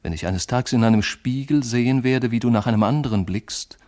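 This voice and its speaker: An actor playing a lovesick, brooding man of letters in a literary radio monologue, speaking lyrically and intimately in measured phrases. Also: mid-range voice, a little mellow, natural voice. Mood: anguished, fervent.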